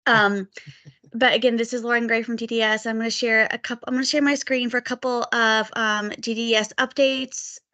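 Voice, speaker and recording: A woman's voice: a little high, steady tone, fairly quick, sharp tone. A woman with a slight cold, a little sniffly, presenting agency updates in an online meeting. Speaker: government agency communications staffer.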